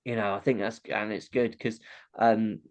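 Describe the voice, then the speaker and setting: A man's voice: on the low side, little pitch movement, normal speed. A man with a nasal voice, a comedian chatting casually as an interview guest, laughing often and acting out how audiences react.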